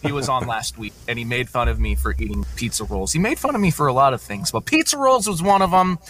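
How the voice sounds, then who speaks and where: A man's voice: mid-range voice, expressive, fast talker, a bit bright, slight background noise. A man co-hosting a podcast in casual chat, with a ring announcer's background.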